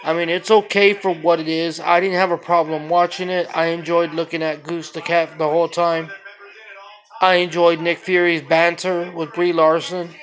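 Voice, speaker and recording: A man's voice: a little high, level tone, normal speed, fairly bright tone, low-quality recording. A man giving a casual, opinionated film review as a blunt, exasperated rant, swearing freely and repeating his complaints.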